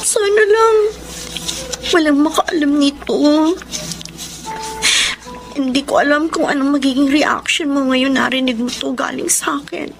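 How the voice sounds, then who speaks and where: A woman in a radio drama playing a lovesick, tearful young soul with a halting, emotional delivery. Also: high-pitched, gravelly. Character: lovesick, tearful young confessor, tender and self-sacrificing. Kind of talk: Filipino radio drama acting.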